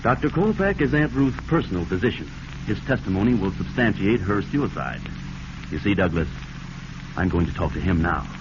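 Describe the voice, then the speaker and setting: A male actor playing a calculating, glib nephew with a schemer's smooth talk in a 1940s radio drama. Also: sits low, expressive, moderate pace. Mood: cold, confident. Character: cold, scheming nephew, smooth-talking and feigning concern. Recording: noisy, muffled 1940s radio recording.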